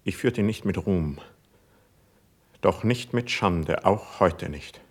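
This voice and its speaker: Proud wounded young nobleman, low husky voice, solemn declamation, classical radio drama. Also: lively intonation, full character voice. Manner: solemn, declamatory, drawn-out emphatic phrasing. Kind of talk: classical verse stage acting for radio.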